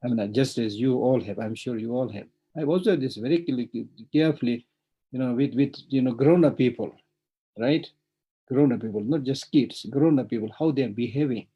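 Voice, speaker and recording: A man's voice: medium pitch, normal speed, breathy edge, highs cut off. A man teaching Buddhism online, speaking earnestly, repeating phrases and often saying "you know". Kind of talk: dharma teaching.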